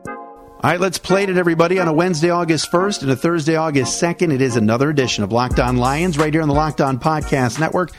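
A man's voice: fairly high pitch, quick pace, music in the background. A man, hosting a solo sports podcast in a chatty, conversational way, back on air after vocal cord surgery. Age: 40s. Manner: chatty, conversational, with frequent "uh" fillers. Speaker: podcast host.

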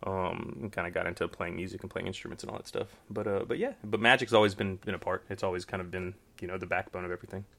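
Bright-voiced Texan man, low-pitched. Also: steady tone, fast, vocal fry, hardly any pauses. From Texas, USA. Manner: rambling, many fillers and restarts. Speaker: magician guest. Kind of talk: casual interview chat.

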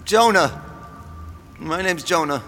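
An exasperated, panicky prophet. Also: male voice, a little high, expressive, slow, music in the background. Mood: bewildered. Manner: irritable, flustered. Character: exasperated, panicky man named Jonah, a prophet. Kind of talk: audio drama acting. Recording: long hall reverb, faint noise.

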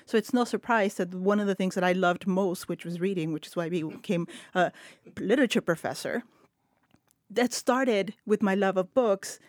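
A woman's voice: medium pitch, normal speed, dry recording. Earnest middle-aged professor lady from el paso, spanish first language, long reflective answers, dry close mic. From El Paso, West Texas. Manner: earnest, reflective, speaks in long flowing sentences. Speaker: literature professor, guest. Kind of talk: panel discussion.

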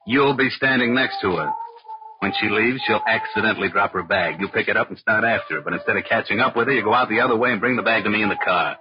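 A curt gang leader acted by a man in an old radio crime play. He gives orders in quick, slangy, low-pitched speech. The recording is dull and noisy. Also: natural voice. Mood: commanding. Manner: commanding, slangy. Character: bossy, curt male kidnapping gang leader, fast-talking crook. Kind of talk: 1940s radio crime drama acting.

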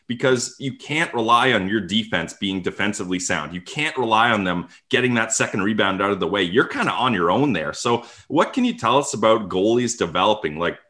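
A man hosting a podcast, asking questions in a relaxed, chatty way. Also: lowish voice. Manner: chatty, casual fan talk. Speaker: podcast host. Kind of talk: casual podcast interview and read ad.

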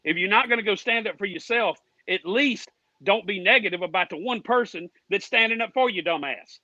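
Fired-up, high-voiced American man ranting, muffled audio. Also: brisk, sharp tone, dry recording. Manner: combative, indignant, profane; folksy, with "ain't" and "y'all". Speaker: guest caller on a racing fan show. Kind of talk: heated ranting.

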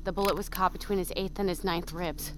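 Actress as blunt lady medic in an audio drama, lower voice, matter of fact, noisy background ambience. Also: steady tone, a little fast, lightly acted. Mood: weary, matter-of-fact. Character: blunt, sweary woman medic under pressure.

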